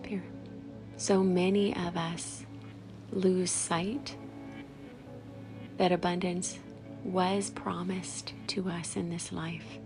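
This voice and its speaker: A woman leading a guided meditation, speaking softly and soothingly in short phrases with long pauses and breathy, whispery endings. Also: fairly low pitch, flat delivery, rough recording. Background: background music.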